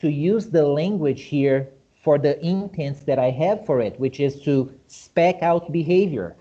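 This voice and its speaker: Brazilian-accented man, mellow and muffled. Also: measured pace. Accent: Brazilian Portuguese. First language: Portuguese. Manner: animated, explanatory, with rhetorical questions. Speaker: host. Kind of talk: casual discussion. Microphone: video call. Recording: muffled low-fidelity video call audio.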